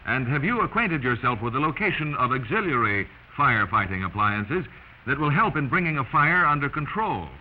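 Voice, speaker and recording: An American man narrates a 1940s instructional film from a script. His delivery is deliberate, with lively pitch movement. The old soundtrack is dull and slightly noisy.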